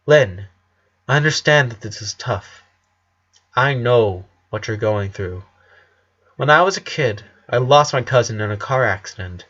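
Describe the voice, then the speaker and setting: A man voicing a calm, understanding male karate sensei in a storybook reading, gentle and measured. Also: mid-range voice, dull audio. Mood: calm, consoling. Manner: gentle, measured, wise counselling.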